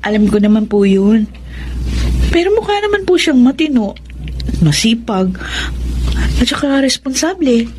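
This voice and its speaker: A woman's voice: on the high side, sing-song, measured pace, long pauses, a little mellow, slight background noise. An actress playing a young working woman in a Tagalog radio drama, coy and playful yet polite. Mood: respectful, shy. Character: young working woman, respectful daughter, coyly teased by suitor.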